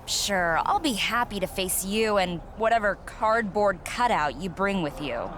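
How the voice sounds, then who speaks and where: A woman's voice: medium pitch, expressive, measured pace, noisy background, natural voice. An actress playing a scornful, cocky female wrestling rival in a video game cutscene, with a sarcastic, mocking delivery. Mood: dismissive, sarcastic.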